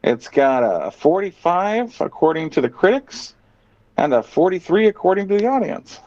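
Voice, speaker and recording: A man hosts a casual podcast chat with a very animated, swooping delivery. He is sardonic and opinionated and swears freely as he reads things out and reacts. The sound is dull and lo-fi. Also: high voice, moderate pace. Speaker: podcast host. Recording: dull, muffled lo-fi audio.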